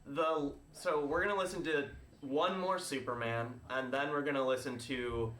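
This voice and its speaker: A man's voice: medium pitch, steady tone, moderate pace, fairly bright tone. A man hosting a stream in casual explanatory chat, thinking aloud as he goes. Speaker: host, game streamer. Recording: echoey room.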